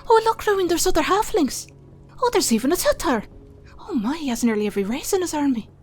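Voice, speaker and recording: Curious, excitable young woman played by a man, high and animated, gentle-natured fantasy villager for an audiobook. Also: quick pace, soft background music. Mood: delighted. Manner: wide-eyed, questioning.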